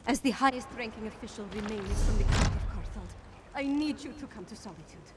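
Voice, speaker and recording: A woman playing a determined, grieving young Nord princess in a video game, with a husky voice. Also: a little high, animated, fairly quick, very noisy, lightly acted. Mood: urgent, determined. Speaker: princess giving orders. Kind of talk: video game character acting.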